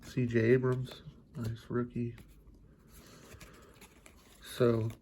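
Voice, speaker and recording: A man's voice: medium pitch, monotone, drawn-out words, mellow, a little hiss or hum. A congested, stuffy-sounding man opening card packs and chatting casually, musing aloud with lots of fillers. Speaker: card collecting channel host. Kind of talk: casual pack-opening commentary.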